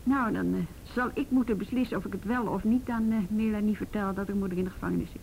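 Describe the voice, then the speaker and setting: A woman's voice: fast, vocal fry, a little mellow, lightly acted. A woman acting in a naturalistic radio drama as a wry, world-weary police officer with a dry, sardonic manner. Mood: businesslike. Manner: dry, sardonic, mildly swearing. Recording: noisy rumbly radio drama recording.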